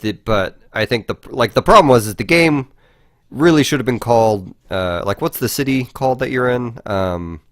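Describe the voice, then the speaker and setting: A man co-hosting a podcast, casually discussing video games and haltingly thinking aloud, with some swearing. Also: mid-range voice, animated, dry recording, studio quality. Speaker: podcast host.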